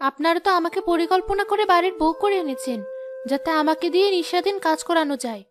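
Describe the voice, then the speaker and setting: A woman's voice: extremely high pitch, quick pace, noisy background. A woman voicing a hardworking, defiant young wife in a cartoon, aggrieved and protesting. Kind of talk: cartoon voice acting. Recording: music behind the voice.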